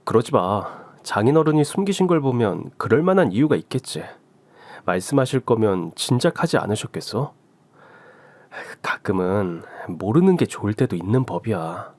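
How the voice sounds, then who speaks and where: A man voicing a gentle, caring young husband who calmly talks his wife out of something in a radio drama story. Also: fairly low pitch, moderate pace, dry recording. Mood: reassuring. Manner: calm, reassuring.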